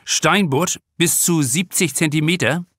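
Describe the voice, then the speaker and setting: A man's voice: on the high side, a little slow, a bit bright, husky, no room sound, clean studio sound, pitched above the performer's own voice. A man voicing a nervous, stammering young male lifeguard in an audiobook. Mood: flustered. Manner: hesitant, stammering. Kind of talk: audiobook character dialogue.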